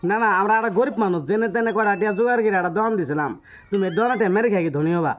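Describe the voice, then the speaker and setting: A man's voice: high-pitched, very fast, close to an everyday voice. A man playing a pushy, scolding chicken seller who insistently demands an unpaid debt on a prank phone call. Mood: aggrieved, pleading. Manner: insistent, haranguing, repetitive. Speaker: prank caller. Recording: music behind the voice.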